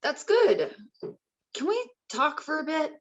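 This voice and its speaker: A concerned mother played by a woman speaks in a fairly high voice. The delivery is natural, everyday acting from a script read-through. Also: sing-song, slow, highs cut off, exaggerated voice. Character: Joyce, concerned mother of a boy. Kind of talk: amateur table read acting.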